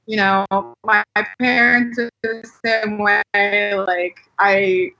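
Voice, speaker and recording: A woman's voice: mid-range voice, fairly even intonation, slow pace, gravelly, pushed and bright, muffled recording, faint music behind. A woman from Colorado chatting casually and candidly as a podcast guest, peppering her speech with "like.". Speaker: podcast guest, adult performer. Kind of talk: casual chat.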